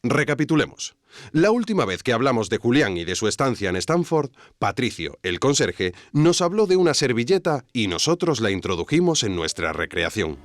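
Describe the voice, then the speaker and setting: A man's voice: medium pitch, sing-song, normal speed, no room sound, clean studio sound. A man narrating a scripted documentary-style story, wryly addressing the listener directly. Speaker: narrator and host.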